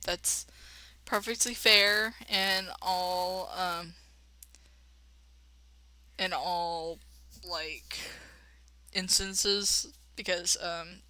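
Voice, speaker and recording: A woman's voice: lowish voice, fairly even intonation, extremely slow, pauses often, sharp tone, no room sound. A woman reading a game dramatically and adding casual, slangy, irreverent commentary as a let's play host.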